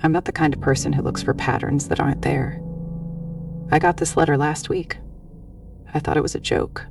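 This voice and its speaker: Weary, defensive horror-story woman, played by an actress: extremely creaky, monotone delivery, a little far from the mic. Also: sits low, moderate pace. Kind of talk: scripted monologue in a horror story. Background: music.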